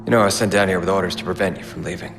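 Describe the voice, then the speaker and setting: An actor playing a conscientious, earnest naval commander in a dramatic video game cutscene. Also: male voice, sits low, steady tone, rapid-fire, vocal fry. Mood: earnest, conspiratorial. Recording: long reverb, music underneath.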